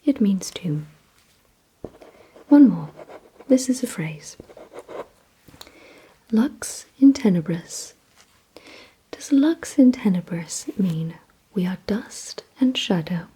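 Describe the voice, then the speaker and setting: Woman, ASMR roleplay, breathy unvoiced whisper, dark soft tone, very slow deliberate delivery, close microphone. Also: mid-range voice, low-quality recording. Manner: slow, deliberate, repeats words with pauses. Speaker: ASMR creator leading a word-guessing game.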